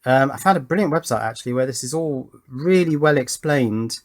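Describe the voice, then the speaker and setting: A man's voice: mid-range voice, a little fast. A middle-aged man, talking casually and thoughtfully as he hosts an electronics tutorial, rambling a little in a matter-of-fact way. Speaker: electronics hobbyist video host. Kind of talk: casual chatty tutorial narration with reminiscence.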